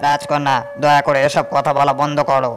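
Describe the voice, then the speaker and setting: A man's voice: fairly high pitch, completely flat delivery, brisk, music in the background, close to an everyday voice. Man voicing a pushy, impatient general. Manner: pushy, demanding. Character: impatient greedy army general. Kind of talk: storybook cartoon dubbing.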